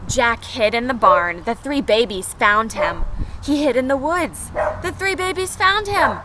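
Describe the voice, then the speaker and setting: A woman's voice: fairly high pitch, expressive, unhurried. A woman teacher doing a storybook read-aloud and chatting with small children in simple phrases and questions. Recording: clear background noise.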